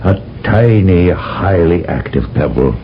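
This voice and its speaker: An actor playing a solemn older general who briefs an agent in a measured, lecturing way, in a 1970s radio drama. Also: male voice, deep voice, animated, soft background music. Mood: grave. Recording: dull lo-fi old radio broadcast with faint hiss.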